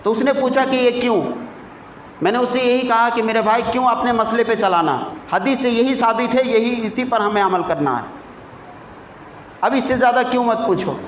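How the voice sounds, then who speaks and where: High-pitched male preacher with echo. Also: normal speed. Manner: didactic, repeats key phrases for emphasis. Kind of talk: sermon with storytelling and rhetorical questions. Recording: echoey sound with faint background noise.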